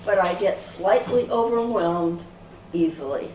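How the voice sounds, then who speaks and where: A woman's voice: lowish voice, animated, a little slow, darkish tone. A woman playing an eager, easily flustered group leader in amateur readers theater, speaking earnestly. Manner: earnest, presenting. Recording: echoey room from far off, faint noise.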